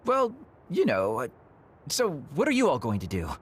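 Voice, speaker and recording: Sly, lilting young assassin. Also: male voice, on the high side, huge pitch swings, normal speed, slightly rough, heavily acted. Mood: evasive. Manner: glib, evasive, teasing.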